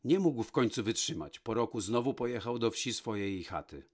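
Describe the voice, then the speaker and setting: A man narrating a fairy tale from a script, with measured, dramatic pauses. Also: mid-range voice, expressive, darkish tone. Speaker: storyteller, narrator.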